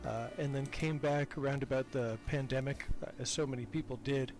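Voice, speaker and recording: A man's voice: medium pitch, steady tone, fairly quick, over music. An older man chatting casually as a podcast guest, talkative and self-deprecating. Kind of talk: casual interview chat.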